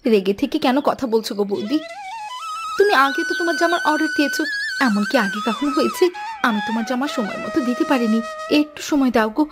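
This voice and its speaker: Woman as a fast, high-pitched harried seamstress. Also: wildly swooping pitch, over music. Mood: pleading. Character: harried, quarrelsome village seamstress wife. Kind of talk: animated cartoon voice acting.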